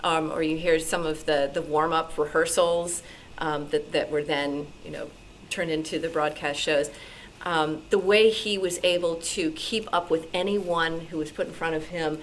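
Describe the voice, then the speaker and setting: A woman in a casual group discussion, thinking aloud thoughtfully with pauses. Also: sits low, steady tone, a little hiss or hum, low-quality recording. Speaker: club discussion participant.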